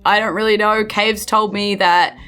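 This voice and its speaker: A woman's voice: medium pitch, fairly even intonation, normal speed, a bit bright, clean tone, faint music behind, lightly acted. A woman with a New Zealand accent playing an easygoing, trusting male apothecary shopkeeper in a tabletop game, explaining things casually and hesitantly. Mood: defensive, hesitant.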